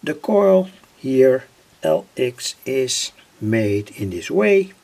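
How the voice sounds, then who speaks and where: A man explaining a homemade electronic circuit informally, in a halting, deliberate way with pauses. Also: medium pitch, big pitch swings, vocal fry, darkish tone. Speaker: electronics hobbyist presenter.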